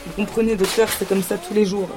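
A woman's voice: mid-range voice, steady tone, fairly quick, close to an everyday voice. An actress playing an exhausted young mother who complains wearily to her doctor, in a radio drama. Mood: weary. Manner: weary, complaining. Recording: noise behind the voice.

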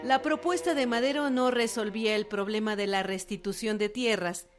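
A woman's voice: fairly high pitch, fairly even intonation, moderate pace. A woman narrating a scripted historical documentary in a formal, articulate manner. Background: music.

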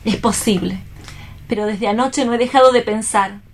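A young woman torn by remorse in a radio play. Her voice sits a little high. She phrases deliberately and earnestly, with melodramatic anguish and a self-sacrificing temper. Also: fairly even intonation. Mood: resolute, remorseful. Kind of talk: staged radio theatre reading.

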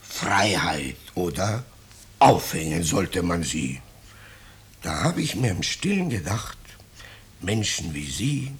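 An actor playing an ingratiating vagrant in a 1950s German radio play, speaking with unctuous false modesty and quiet menace. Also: male voice, low voice, big pitch swings, slow pace, pauses often, breathy edge, heavily acted. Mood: flattering. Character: ingratiating vagrant stranger, slyly menacing, mock-humble. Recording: noisy 1950s radio drama recording.

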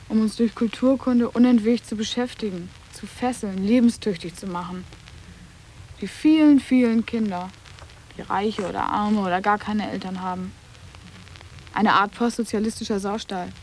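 A young woman playing a guarded, sarcastic teenage schoolgirl in a radio drama, answering in clipped, deadpan phrases. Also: medium pitch, slight background noise, natural voice, poor audio. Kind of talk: literary radio drama acting.

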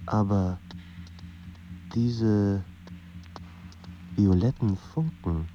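A man performing as a grave, brooding narrator of literary radio drama, speaking in a measured way with dramatic pauses over a slightly muffled, noisy recording. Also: on the low side, fairly even intonation, dark tone. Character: literary narrator of a troubled young writer's life, grave and brooding.

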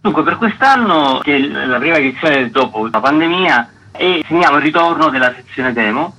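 A man's voice: fairly high pitch, lively intonation, moderate pace, sharp tone, a little hiss or hum, poor audio. A man speaking as a festival director, explaining things as a guest in a radio interview.

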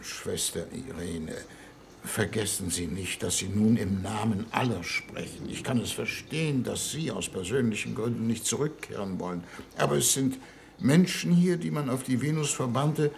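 A man's voice: lowish voice, vocal fry, darkish tone. An actor playing a solemn, pompous statesman in a 1960s German-language radio drama, formal and declamatory. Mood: solemnly persuasive. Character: solemn, pompous statesman heading a diplomatic space mission. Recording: slightly noisy 1960s radio production.

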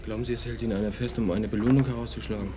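An actor in a radio play voicing a sympathetic, courteous man who asks things in a measured, polite manner. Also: on the low side, steady tone, background noise, lightly acted. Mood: concerned. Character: sympathetic, polite man consoling a troubled acquaintance. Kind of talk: German radio play acting.

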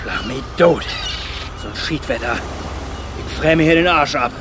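A man playing a rough, defiant working man, gruff and agitated, in a German radio drama. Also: fairly high pitch, animated, unhurried, very noisy. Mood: grumbling defiance.

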